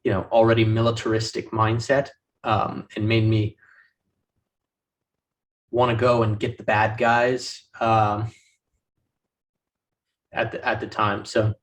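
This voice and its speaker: A man in his thirties, a veteran guest, speaking casually and haltingly with many ums and restarts. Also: on the low side, flat delivery. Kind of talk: casual podcast conversation. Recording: voice distant from the mic.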